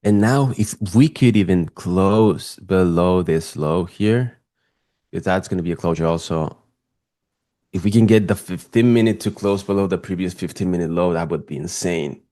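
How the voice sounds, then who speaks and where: Spanish guy streaming live trades, real low voice, chatty and hyped. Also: moderate pace. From Spain. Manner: casual, excitable, chatty with viewers. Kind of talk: live market commentary.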